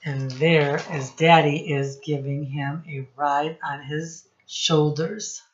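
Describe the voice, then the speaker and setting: A woman's voice: sits low, fairly even intonation, slow, lo-fi sound, slight background noise. A woman speaking as a teacher in a children's read-aloud, explaining words and putting questions to her young listeners. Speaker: teacher reading storybook to children.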